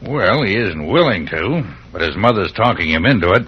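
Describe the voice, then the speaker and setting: A man playing a blunt, hard-nosed cattle rancher in an old western radio drama, gruff and plain-spoken. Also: low-pitched, sing-song, normal speed, full character voice. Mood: blunt, dismissive. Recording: muffled vintage radio recording.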